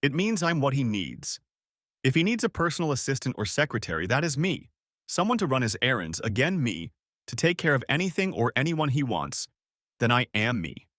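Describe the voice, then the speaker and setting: A man's voice: mid-range voice, very animated, fairly quick, a bit bright, no room sound, studio quality. A man voicing a frank, self-assured young woman who works as a personal assistant. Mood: matter-of-fact.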